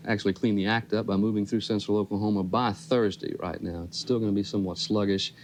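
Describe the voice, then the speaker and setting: Fast-talking TV weatherman. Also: on the low side, steady tone, slight background noise. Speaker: TV weather forecaster. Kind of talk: live ad-libbed local weathercast with banter.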